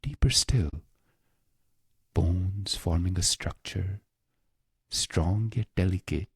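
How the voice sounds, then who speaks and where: A man narrating a scripted guided meditation in a hushed, breathy voice, speaking in short phrases with long pauses. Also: dry recording, clean studio sound. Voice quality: low, breathy, husky voice with creak.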